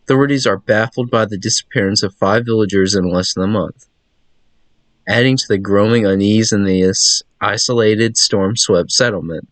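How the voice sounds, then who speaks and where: A man's voice: lowish voice, moderate pace, no room sound, lightly acted. A man reading out a sober scripted news report within a video game.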